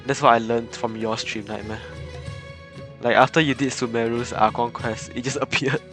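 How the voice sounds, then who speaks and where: Young male streamer, chatty, rambling, laughs easily, average pitch. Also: steady tone, moderate pace, a bit bright, soft background music. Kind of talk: casual live game commentary.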